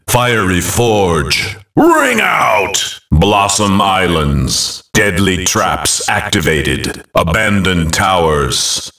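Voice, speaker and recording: Deep, echoing fantasy game announcer. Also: male voice, bright, forceful tone, distant mic, full character voice. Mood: shouted excitement. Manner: theatrical, deliberate, emphatic. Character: dramatic male arena announcer in a fantasy video game. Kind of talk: game announcer calls, short punchy phrases.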